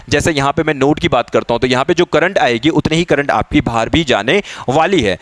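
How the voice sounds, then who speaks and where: A man's voice: mid-range voice, no room sound. A man teaching an exam-preparation lesson, explaining step by step with much repetition and English technical terms mixed in. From India. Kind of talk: explanatory lesson working through practice questions.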